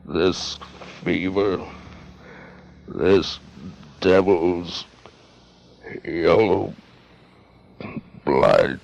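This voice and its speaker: Raving, halting gold-crazed treasure hunter. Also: male voice, low voice, vocal fry, a little mellow, lo-fi sound, full character voice. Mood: feverish despair. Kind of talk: 1950s radio drama acting.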